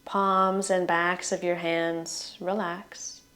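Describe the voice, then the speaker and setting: Slow-paced woman guiding meditation. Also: on the low side, flat delivery. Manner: soothing, gentle instructions in short phrases with trailing ends. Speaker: meditation guide. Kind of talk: guided relaxation meditation.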